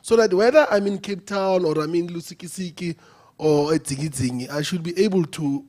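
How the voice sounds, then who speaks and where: A man's voice: on the high side, moderate pace, darkish tone. A man giving a hesitant floor comment at a youth conference. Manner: hesitant, many fillers. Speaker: conference delegate. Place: conference venue.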